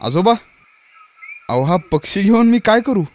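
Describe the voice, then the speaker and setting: Man acting animated, high-voiced eager young villager. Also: a little slow. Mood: amazed. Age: young adult. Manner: earnest, excitable, polite. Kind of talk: children's fairy-tale cartoon acting.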